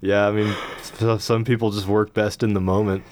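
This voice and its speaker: A man's voice: lowish voice, level tone, normal speed, a little mellow. A young man hosting a college radio interview, talking in a laid-back, casual way full of fillers. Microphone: studio microphone.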